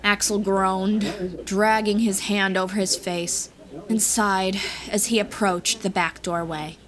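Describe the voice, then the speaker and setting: A woman's voice: mid-range voice, steady tone, slow pace, a bit bright. A woman reading scripted fantasy audiobook narration as the storyteller, with music behind her. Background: background music.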